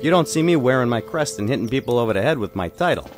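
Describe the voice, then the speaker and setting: An actor playing a blunt, streetwise henchman with American wiseguy phrasing, questioning his boss in an animated fantasy drama. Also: medium pitch, fast, over music, lightly acted. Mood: needling.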